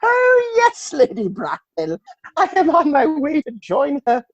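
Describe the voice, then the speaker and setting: A woman playing a fussy, genial, pious elderly clergyman in a comic play reading, with an effusive, deliberate manner. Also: high voice, wildly swooping pitch, no room sound, highs cut off, heavily acted. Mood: effusive, delighted.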